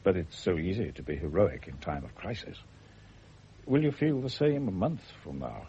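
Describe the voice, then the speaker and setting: An aging British doctor in an old radio drama. The voice is very low, measured and wryly philosophical. The sound is dull, with faint noise behind it. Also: male voice, big pitch swings, mellow. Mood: dry, frank. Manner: dry, measured, wry. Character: aging, worldly-wise English doctor, dry and philosophical. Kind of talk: mid-century radio drama acting.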